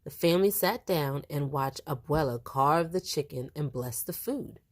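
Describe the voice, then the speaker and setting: A woman reading a picture book aloud to young children in an animated, expressive storytelling style. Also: sits low, normal speed. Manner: animated, acts out the dialogue.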